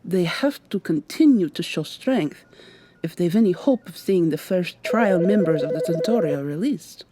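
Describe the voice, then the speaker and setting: A woman's voice: on the low side, expressive, moderate pace, a little hiss or hum, lightly acted. A woman playing a weary, sardonic vampire receptionist with a secret, acting in a scripted ASMR roleplay. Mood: hushed, alarmed. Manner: polite customer-service patter, hushed urgent asides.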